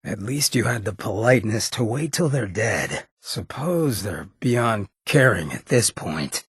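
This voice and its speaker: An actor voicing a sly, swaggering outlaw mayor in a video game, with a gravelly rasp and cocky, streetwise, slangy drawl. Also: male voice, on the low side, expressive, unhurried, no echo, studio quality, full character voice. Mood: wry, laid-back. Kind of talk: video game companion dialogue.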